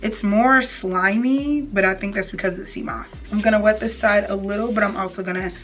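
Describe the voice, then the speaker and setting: Young woman, medium pitch, casual tutorial chat, playful slangy manner, slightly distant mic, music behind. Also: brisk, a bit bright. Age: young adult. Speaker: beauty vlogger. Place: indoor room, plain wall backdrop.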